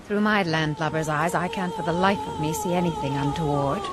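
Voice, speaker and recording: A woman's voice: sits low, a little fast, over loud music, close to an everyday voice. An actress playing a refined, composed and curious lady passenger in a radio drama, speaking formally. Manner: genteel, articulate, formal. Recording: noisy ship ambience behind voice.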